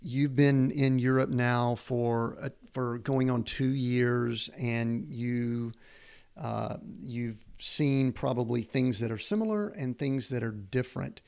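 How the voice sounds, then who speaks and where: A man's voice: medium pitch, takes it slowly, vocal fry, darkish tone. A middle-aged man hosting a podcast, earnest and rambling as he searches for the words to his questions. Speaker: podcast host. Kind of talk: conversational interview.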